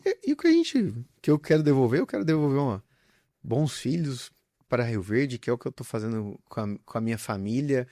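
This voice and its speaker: A man's voice: medium pitch, very animated, brisk, warm tone, no echo, studio quality. A man, a business founder, talking earnestly and reflectively as an interview guest, often restarting his phrases. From southern Brazil. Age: adult with young children. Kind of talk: casual interview conversation.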